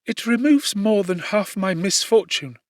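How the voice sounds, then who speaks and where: A man voicing a gentle, condemned young woman in an audiobook, speaking tenderly and formally with sorrowful resignation. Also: high-pitched, animated, unhurried, no echo, clean studio sound, voice pushed up. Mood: grateful, resigned. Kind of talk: audiobook character dialogue.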